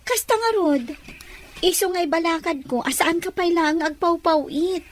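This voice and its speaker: A woman's voice: extremely high pitch, moderate pace, a little hiss or hum. A woman playing a sweet, respectful young daughter in an Ilocano-accented audio drama. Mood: concerned.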